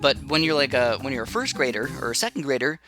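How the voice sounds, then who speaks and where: A man's voice: mid-range voice, sing-song, fast talker, pushed and bright. A man giving casual, rambling gameplay commentary, chatty and full of fillers and restarts. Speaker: gaming channel host. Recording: game music playing under the voice.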